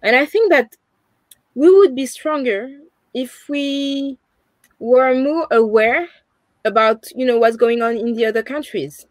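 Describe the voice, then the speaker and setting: A woman's voice: high voice, a little slow, no room sound. A young woman with a French accent, speaking earnestly on a video call as an interview guest, often restarting and adding "you know". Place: home room, indoors.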